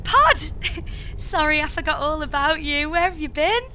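A woman's voice: high voice, expressive, moderate pace, sharp tone, a little hiss or hum. A woman playing a lone space traveller in an audio comedy, exasperated, sarcastic and given to swoony flirting. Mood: flustered. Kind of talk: scripted audio comedy sci-fi drama.

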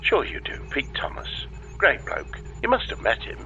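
A man's voice: medium pitch, wildly swooping pitch, normal speed, vocal fry, pushed and bright, muffled recording, a little hiss or hum, exaggerated voice. A man playing an evasive, breezily unhelpful space-agency dispatcher in a comedy audio drama, talking over a radio link. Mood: sheepish, brisk. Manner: breezy, evasive, hesitant when cornered.

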